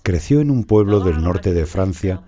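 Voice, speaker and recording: Very deep solemn male narrator. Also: animated, moderate pace, mellow. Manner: solemn and reverent, with devotional phrases. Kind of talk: scripted documentary narration.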